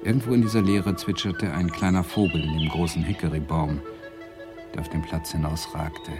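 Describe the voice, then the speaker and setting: An actor playing a wry, self-doubting Swedish literature lecturer who narrates a radio drama in a reflective, deliberate way. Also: male voice, sits low, steady tone, music in the background, lightly acted. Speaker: narrator and lead character.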